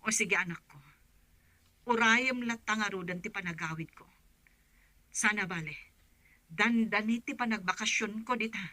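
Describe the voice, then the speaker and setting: Piercingly bright Filipino mother, played by a woman. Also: mid-range voice, normal speed, long pauses, no echo, lightly acted. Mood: reassuring. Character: struggling mother working away, worried and exasperated. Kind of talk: Ilocano radio drama acting.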